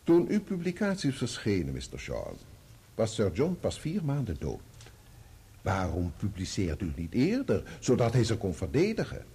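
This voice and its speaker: An actor in a radio play voicing a probing, sardonic barrister, formal and full of pointed rhetorical questions. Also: male voice, on the low side, huge pitch swings, normal speed, dark tone, breathy edge, heavily acted. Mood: pointed, needling. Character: probing, sardonic male barrister cross-examining a witness. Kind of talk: courtroom radio play acting.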